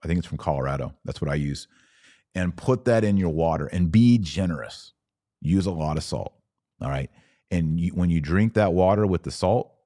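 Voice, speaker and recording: A man's voice: sits low, a little mellow, dry recording, clean studio sound. A man hosting a podcast in casual livestream style, talking informally and emphatically to viewers and repeating phrases for emphasis. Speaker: podcast host. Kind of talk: casual livestream chat answering viewer comments.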